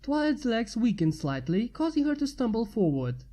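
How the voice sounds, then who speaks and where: A woman's voice: a little high, very animated, normal speed, mellow. A woman reading a scripted story as its narrator. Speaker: fan fiction narrator.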